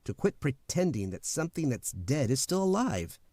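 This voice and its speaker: A man's voice: mid-range voice, very animated, moderate pace, a little mellow, no echo. A man playing a blunt, easygoing, footloose suitor with a casual, candid manner in a radio drama. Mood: frank, earnest. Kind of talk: old-time radio drama acting.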